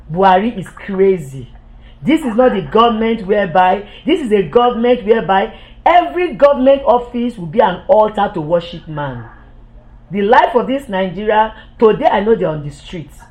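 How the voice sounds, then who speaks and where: Woman, political commentator, heated, accusatory, repetitive, halting restarts. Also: mid-range voice, lively intonation, a little mellow, low-quality recording. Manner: halting restarts when agitated. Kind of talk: impassioned political monologue.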